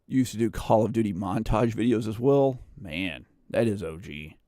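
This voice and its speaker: Deep-voiced man chatting on stream. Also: moderate pace, warm tone, dry recording, studio quality. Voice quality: naturally bassy voice. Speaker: livestream host editing a video. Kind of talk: casual livestream chat while editing. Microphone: studio condenser microphone, close.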